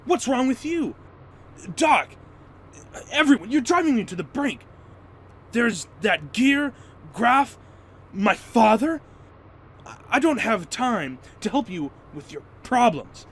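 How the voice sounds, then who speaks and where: A man's voice: very high-pitched, very animated, takes it slowly, long pauses, a bit bright, husky, heavily acted. A man playing a moody, reluctant young fighter in an audio drama, youthful and emotional. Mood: agitated, angry. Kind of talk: fan audio drama acting.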